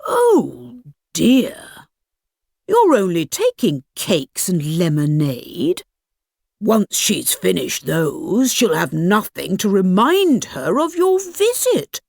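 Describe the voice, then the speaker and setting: A woman voicing a sly, charming male storybook wolf with a smooth, coaxing, falsely polite manner. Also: mid-range voice, very animated, slow pace, a little mellow, no room sound, studio quality, heavily acted.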